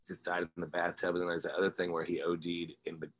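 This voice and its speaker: Male interview guest, American, low voice with a slightly rough edge, loose informal musician talk. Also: completely flat delivery, fast talker, a bit bright. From Ozarks, United States. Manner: rambling, informal, slangy. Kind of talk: casual interview chat.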